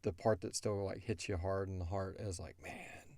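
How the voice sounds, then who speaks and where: Low-voiced man, casual podcast host. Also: steady tone, moderate pace, a little mellow, no room sound. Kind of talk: casual question-and-answer chat.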